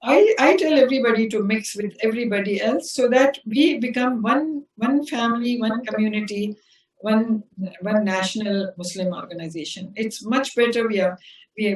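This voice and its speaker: An older woman from South India, an interview guest and community leader, telling her story in an unhurried way and often restarting her phrases. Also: fairly high pitch. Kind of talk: reflective personal storytelling. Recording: roomy sound from a distant mic.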